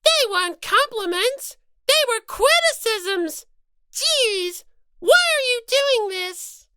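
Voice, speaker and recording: A woman's voice: extremely high pitch, animated, takes it slowly, background noise, pitched above the performer's own voice, exaggerated voice. A woman voicing a small, easily flustered little-sister rabbit mascot in an audiobook, whiny and scolding. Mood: upset, scolding. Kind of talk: dramatized audiobook character reading.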